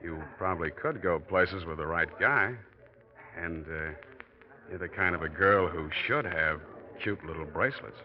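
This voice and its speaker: A man's voice: deep voice, normal speed, natural voice. A man playing a scheming, hard-boiled American office man in 1940s radio acting style. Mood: smooth, probing. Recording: muffled, noisy old radio recording.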